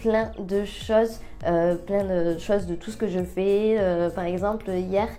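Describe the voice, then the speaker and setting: A French woman with a stuffy head cold, chatting lively and directly to viewers while showing a nail tutorial. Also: mid-range voice, slow pace, soft background music. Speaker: nail technician. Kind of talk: casual tutorial vlog.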